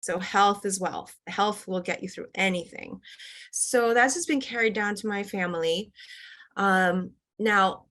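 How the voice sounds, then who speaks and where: A woman's voice: mid-range voice, measured pace, a bit bright. A middle-aged woman from the United States, a company executive chatting casually as an interview guest, with lots of fillers and restarts. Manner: chatty, many fillers and restarts, laughs often.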